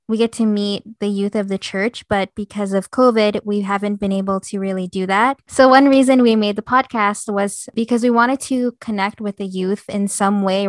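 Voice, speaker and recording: A woman's voice: mid-range voice, no echo. A woman of twenty-one, a youth ministry leader, chatting casually and leading the group on a podcast.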